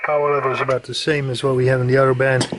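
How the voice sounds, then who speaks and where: Male hobbyist demonstrator, mid-pitched, unscripted and matter-of-fact with pauses, slight noise behind. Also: flat delivery, fairly bright tone. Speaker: radio hobbyist demonstrating equipment. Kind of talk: casual hands-on product demonstration. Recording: faint background noise.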